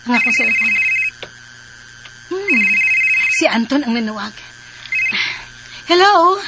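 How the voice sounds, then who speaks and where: High-voiced, teasing young Filipina. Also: female voice, animated, slow, very noisy. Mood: puzzled. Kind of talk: Filipino radio drama acting.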